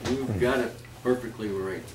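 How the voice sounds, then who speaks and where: A man reading literary pieces aloud as a host, with measured, declamatory, deliberate phrasing. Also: medium pitch. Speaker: reader and host of a holiday readers theater. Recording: echoey room, distant mic, faint noise.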